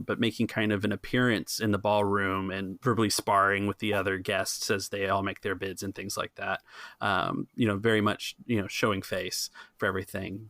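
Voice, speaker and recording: A man's voice: lowish voice, fairly bright tone, dry recording, clean studio sound. A man running a tabletop roleplaying game, casually narrating and giving rulings. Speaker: game master.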